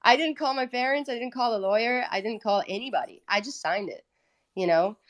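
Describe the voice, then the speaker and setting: A woman's voice: on the high side, brisk. A native Italian-speaking woman from Italy telling her story casually and candidly as an interview guest. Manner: candid, informal. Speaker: guest, independent musician.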